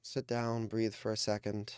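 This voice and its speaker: A man leading a guided meditation as a coach, speaking in a calm, soothing, deliberate way with pauses. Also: on the low side, clean tone, dry recording, studio quality.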